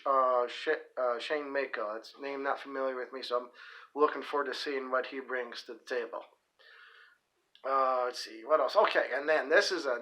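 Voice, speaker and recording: A man's voice: medium pitch, sharp tone, poor audio. A man hosting a casual solo report on upcoming wrestling shows, rambling with fillers, restarts and folksy asides. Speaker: host of a wrestling events report.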